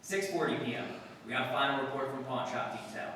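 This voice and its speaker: Male actor as no-nonsense detective, clipped and matter-of-fact 1950s radio delivery, forceful tone, distant reverberant recording. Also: mid-range voice, quick pace, close to an everyday voice. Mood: deadpan. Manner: terse, deadpan, matter-of-fact. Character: no-nonsense male police detective who narrates his cases. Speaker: amateur radio-play actor. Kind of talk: 1950s police radio drama, clipped narration. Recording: echoey hall from far off, faint noise.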